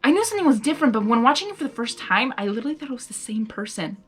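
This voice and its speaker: A woman reading a story aloud with excitable, giggly, rambling casual commentary. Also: fairly high pitch, very fast, faint music behind. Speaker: host of fan fiction reading videos.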